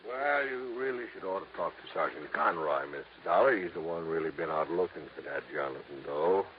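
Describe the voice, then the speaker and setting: An easygoing small-town police desk man in a 1950s radio drama. His voice is deep and animated, folksy in its wording, heard through a muffled old recording. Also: a little fast, slight background noise. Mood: amused, helpful. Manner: folksy, chuckling, colloquial.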